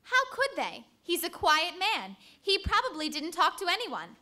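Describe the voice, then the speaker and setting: A sleuth's lady companion in an old-time radio drama. Her voice is very high and bright with lively swings, sympathetic and questioning. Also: moderate pace, full character voice. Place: live stage performance at a convention.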